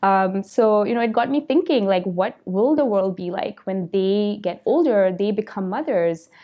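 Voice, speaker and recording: A woman's voice: mid-range voice, moderate pace, highs cut off. A woman in her thirties from Malaysia, a company founder speaking reflectively in a documentary interview, with frequent "you know" fillers.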